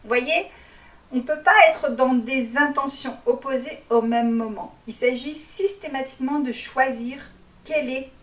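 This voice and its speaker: A woman whose first language is Arabic, speaking as a life coach and guiding listeners through a reflection in a calm, teaching way with frequent hesitations. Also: a little high, echoey room, far from the mic. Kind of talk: guided reflection talk.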